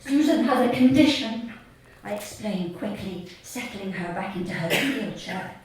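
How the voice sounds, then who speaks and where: A woman reading her comic short story live to an audience, in a deadpan, measured way with deliberate pauses. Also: mid-range voice. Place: theatre stage at a live reading event. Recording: echoey hall, distant mic, audience noise. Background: audience laughter.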